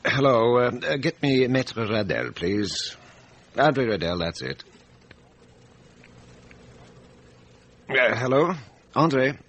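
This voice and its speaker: A man's voice: medium pitch, big pitch swings, a little slow, fairly bright tone, slight background noise. A man playing a sceptical, middle-aged French examining magistrate in a radio drama, formal and questioning, with a hesitant delivery. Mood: formal, official.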